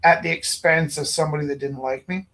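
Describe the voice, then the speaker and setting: A man from Alberta, a gallery owner and teacher, rambling through animated anecdotes in casual chat. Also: on the high side, fast talker. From Alberta, Canada.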